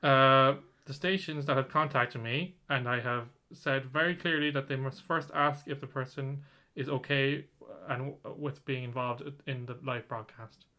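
A man's voice: medium pitch, steady tone, moderate pace, sharp tone. A man co-hosting a podcast, reading out a news story in casual chat, rambling with many restarts. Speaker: podcast co-host.

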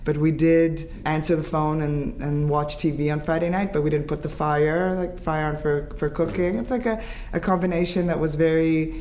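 Woman in her fifties, grew up in Israel, English first language, halting reflective speech, light ambient noise, interview guest. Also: sits low, steady tone, mellow. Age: 50s. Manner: halting, restarts and fillers, reflective. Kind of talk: conversational interview.

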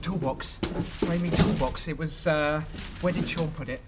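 A man's voice: on the high side, steady tone, normal speed, lightly acted. A man playing an anxious, bumbling, sarcastic reluctant hero in a comedy fantasy audio drama, hesitant and flustered. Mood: nervous, flustered. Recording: echoey reverberant space with clear noise.

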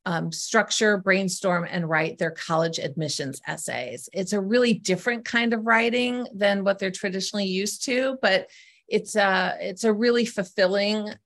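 A woman's voice: medium pitch, moderate pace, fairly bright tone. A middle-aged woman, a guest on a podcast, explaining her work as an essay coach in an earnest, step-by-step way. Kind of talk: explanatory interview answers.

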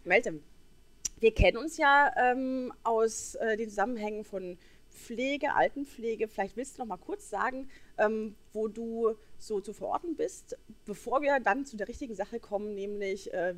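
A woman's voice: on the high side, lively intonation, normal speed. A woman hosting a podcast in a casual, conversational interview style. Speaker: podcast host.

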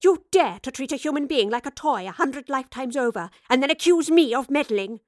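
A principled, fiery female professor from a storybook, acted by a woman narrator. She speaks in a fairly high, dry-recorded voice, articulate and emphatic. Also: expressive, fairly quick, sharp tone, dry recording. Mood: indignant. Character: principled, teasing female professor, fierce when angered.

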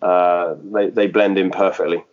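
A man's voice: sits low, level tone, moderate pace, phone-quality sound. A man who planted a church, speaking in a podcast interview, hesitant and full of fillers and restarts. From England.

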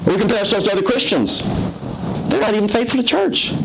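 A pastor with a soothing voice, preaching a conversational sermon with self-deprecating jokes in a church service. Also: male voice, sits high, animated, fast, creaky, noisy background.

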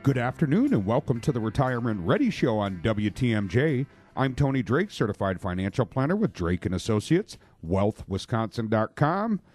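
Male radio host, dark low-ish voice, chatty and casual, dry studio mic. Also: very animated, normal speed, few pauses, no room sound, soft background music. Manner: chatty, joking, many fillers. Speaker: radio show host, financial planner. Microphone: studio microphone.

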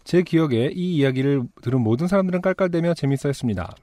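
A man's voice: fairly high pitch, lively intonation, quick pace, mellow, no echo. A man co-hosting a casual talk podcast, reading a listener's letter aloud with laughing asides.

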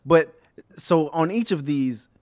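Eager young guy with a fairly high voice, playful in casual banter among friends. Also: lively intonation, slow pace, a little mellow. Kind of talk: casual chat.

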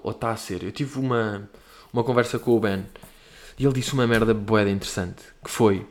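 A young man from Portugal talks in a loose, rambling way, full of slang and asides. His European Portuguese accent is plain, and he pokes fun at himself as he answers listener questions like a comedian hosting his own show. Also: on the low side. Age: young adult. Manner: rambling, slangy, self-mocking. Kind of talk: casual monologue.

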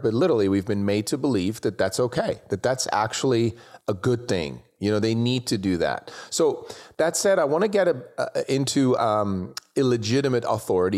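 A man's voice: fairly low pitch, darkish tone. A man from Switzerland hosting a conversational interview. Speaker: interviewer, show host.

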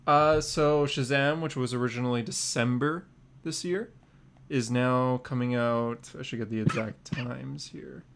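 A man's voice: mid-range voice, fairly even intonation, a little slow, noisy background. A man talking casually as a podcast co-host, informal and slangy, often repeating his phrases. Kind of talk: casual conversation about comic book news.

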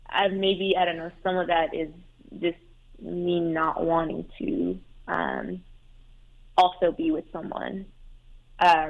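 A young adult woman whose first language is Farsi, calling in to an advice show and talking hesitantly with many fillers and restarts. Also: on the low side. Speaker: caller. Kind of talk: call-in advice talk. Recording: tinny phone line.

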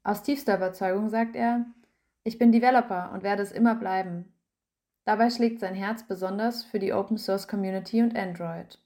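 A woman reads a written feature aloud as scripted narration. Her voice sits at a middle pitch, and her delivery stays even and measured throughout. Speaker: narrator reading an article aloud.

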